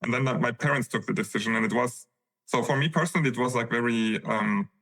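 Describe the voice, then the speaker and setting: A man's voice: medium pitch, fast, fairly bright tone, poor audio. A man from Lebanon, a podcast guest, answering questions in a loose, hesitant way with many restarts and fillers. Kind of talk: casual interview answers.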